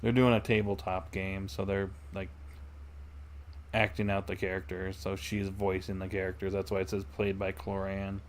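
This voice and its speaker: A man's voice: sits low, flat delivery, moderate pace, slight background noise. A man chatting casually with viewers as he streams a game, often thinking aloud. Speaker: livestream gamer. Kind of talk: casual chat with viewers during gameplay. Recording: game music behind the voice.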